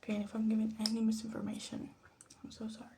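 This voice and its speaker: A woman speaks in a soft, mellow voice with plenty of fry, often dropping to a whisper. She muses hesitantly and self-deprecatingly as an ASMR host, over faint background noise and small clicks. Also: a little high, completely flat delivery, fast talker. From Latin America. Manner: hushed, often slipping into a whisper. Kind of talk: soft-spoken ASMR commentary while demonstrating. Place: bedroom.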